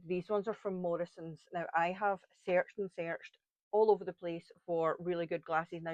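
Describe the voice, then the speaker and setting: A woman's voice: fairly low pitch, darkish tone, no echo, dull audio. A woman with a Scottish accent, chatting her way through a step-by-step crafting tutorial with blunt, frank asides. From Scotland.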